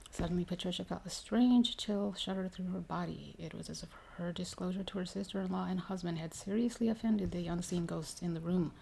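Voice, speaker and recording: Woman, ASMR story narration, low pitch, heavy vocal fry, unhurried soft delivery, faint background noise. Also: rough recording. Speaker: ASMR reader.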